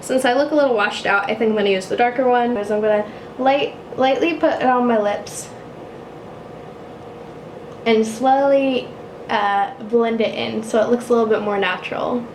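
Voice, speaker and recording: A young woman with a low, husky voice chatting casually while doing her makeup, self-mocking and swearing offhandedly. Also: fairly even intonation, moderate pace, reverberant, a little hiss or hum, low-quality recording. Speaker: YouTuber doing a makeup tutorial. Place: apartment room.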